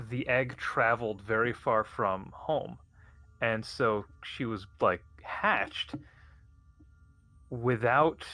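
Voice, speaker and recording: Male player, hesitant and halting, bright tone, dry close mic. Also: medium pitch, no echo. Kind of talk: casual chat.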